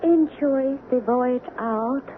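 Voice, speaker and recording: An actress playing a grave, secretive young woman in a 1970s radio drama, with measured, formal phrasing. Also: sits high. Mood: calm, coaxing. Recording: noisy, phone-like old radio broadcast.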